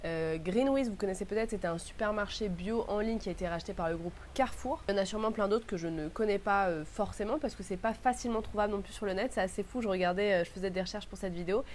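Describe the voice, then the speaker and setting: A woman's voice: medium pitch, fast talker, few pauses, a little mellow, slight background noise. A young woman vlogging to camera with a stuffy nose from a cold, talking things through in a thoughtful, earnest way. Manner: thoughtful, earnest, lists words in a row. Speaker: beauty vlogger. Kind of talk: casual reflective talk to camera.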